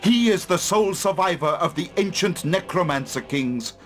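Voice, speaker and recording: A man's voice: sits high, expressive, moderate pace, a bit bright, faint music behind. An actor playing an ominous, dramatic seer in a fantasy game, a man speaking with portentous emphasis. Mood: grave warning. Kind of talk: fantasy video game cutscene acting.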